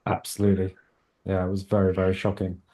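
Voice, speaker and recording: A man hosting a podcast, asking his guest questions in a measured way and searching for his words. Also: deep voice, monotone, mellow. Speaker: podcast host. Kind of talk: interview questions.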